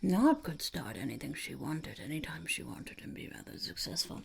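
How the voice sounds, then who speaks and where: A woman voicing a blunt, smug female goblin entrepreneur in an unhurried, breathy, gravelly half-whisper for an ASMR roleplay. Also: deep voice, big pitch swings, heavily acted. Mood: bluntly dismissive. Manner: speaks of herself in third person, unhurried, blunt. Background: crinkling wrappers and clicks.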